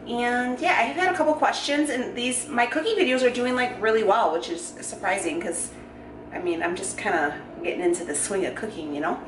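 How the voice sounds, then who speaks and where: A woman's voice: on the high side, a little fast. A woman, chatting casually with filler words and self-joking while she cooks in her home kitchen, picked up by a camera mic as she moves around. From United States. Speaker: vlogger. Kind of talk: casual cook-along vlog. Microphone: camera mic, moving about the room. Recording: echoey kitchen with noise.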